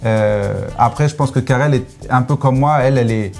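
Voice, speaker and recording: A man's voice: medium pitch, fairly quick, creaky, darkish tone, faint music behind. A young man from Senegal, chatting as an interview guest, joking and playful, explaining with many fillers. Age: young adult. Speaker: guest, content creator. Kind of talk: casual interview chat.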